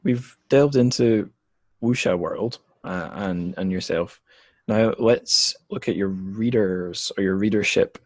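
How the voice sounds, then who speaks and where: A man's voice: on the low side, slow, no room sound. A man hosting a casual podcast interview, asking questions in a tentative way and often rephrasing them as he goes.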